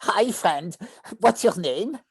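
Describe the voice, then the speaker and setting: A woman voicing a friendly, excitable young beetle in a preschool cartoon, playful and theatrical. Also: medium pitch, slow, breathy edge, no echo, clean studio sound, exaggerated voice. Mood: cheerful greeting. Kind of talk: animated cartoon table read.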